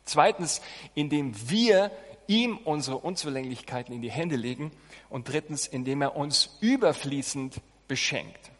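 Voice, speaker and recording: Higher-voiced male pastor in a reverberant hall. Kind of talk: sermon with scripture reading.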